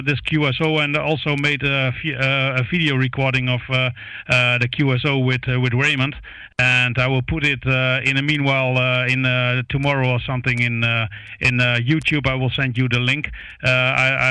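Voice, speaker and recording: A man with a Dutch accent chats over ham radio as an operator. His voice is bright and crisp, captured close and dry on a studio microphone. He is a native Dutch speaker and pauses often with filler sounds as he goes. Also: mid-range voice, no room sound, poor audio. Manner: halting, frequent hesitations with uh. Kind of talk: ham radio contact chat. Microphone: close studio broadcast microphone.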